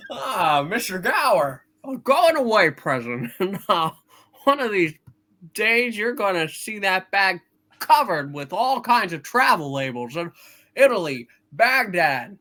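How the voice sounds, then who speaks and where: A man plays a folksy, earnest young hero in a hammed-up radio play reading. He speaks slowly and haltingly, with a bright tone and wide swings in pitch. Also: sing-song, exaggerated voice. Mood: dreamy. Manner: hesitant, folksy. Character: earnest, restless young small-town man, aw-shucks hero.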